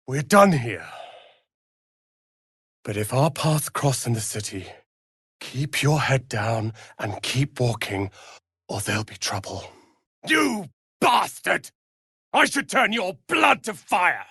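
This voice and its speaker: An actor voicing a proud, prickly young wizard's apprentice in a fantasy video game, haughty, theatrical and sharp-tongued. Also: male voice, a little high, big pitch swings, slow pace, creaky, pauses often, slightly rough, no room sound, clean studio sound, heavily acted. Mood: cold, pompous, then furious.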